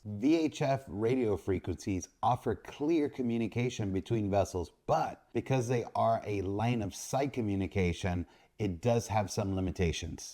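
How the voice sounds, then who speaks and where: A man with a fairly low voice hosts an instructional video. His tone is dark and relaxed, and he delivers scripted explanations in measured, orderly phrases. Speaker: boating instructor, video host.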